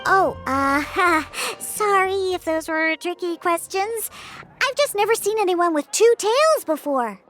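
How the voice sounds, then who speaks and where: A woman voicing an inquisitive, spirited young cat-eared girl in an animated game, with a childlike voice and an eager, blunt manner. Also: very high voice, slow, music in the background, full character voice. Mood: sheepish. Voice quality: childlike, girlish. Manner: eager, blunt, full of questions.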